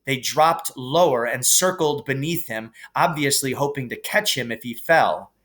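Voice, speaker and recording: A man reading a children's novel aloud as expressive narration. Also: mid-range voice, unhurried. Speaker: reader.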